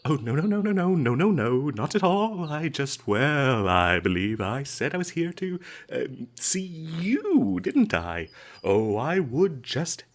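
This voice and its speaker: A man playing a smooth-talking captured adventurer in a comedic fantasy audio roleplay, stammering and flattering with flowery politeness. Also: fairly high pitch, takes it slowly. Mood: anxious, ingratiating. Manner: hesitant, stammering, ingratiating, flowery and polite phrasing.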